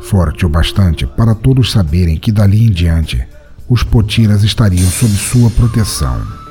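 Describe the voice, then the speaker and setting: A man hosting a podcast and narrating a story in a measured, dramatic storytelling manner. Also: lowish voice, lively intonation, over music.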